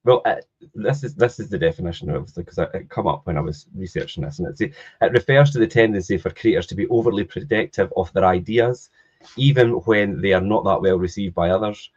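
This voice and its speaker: A podcast host in his thirties talks fast, repeating words as he gets going. His voice is very deep and husky. The recording sounds muffled and lo-fi. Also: darkish tone. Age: 30s. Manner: quick, repeats words when starting a thought. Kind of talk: casual podcast chat. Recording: dull lo-fi sound.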